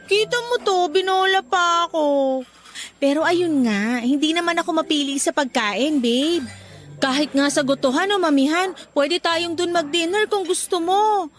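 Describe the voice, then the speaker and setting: A woman plays a young girlfriend in a radio-style drama. Her voice is strikingly high and girlish, with a coy, guarded edge. Soft music sits behind it. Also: measured pace. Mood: coy, embarrassed. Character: bubbly young girlfriend, hearty eater, shy and guarded.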